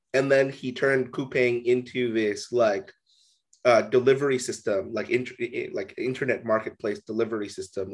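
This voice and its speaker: Male co-host, mid-pitched, relaxed rambling delivery, lots of filler "like". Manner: casual, heavy use of "like". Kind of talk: casual business discussion.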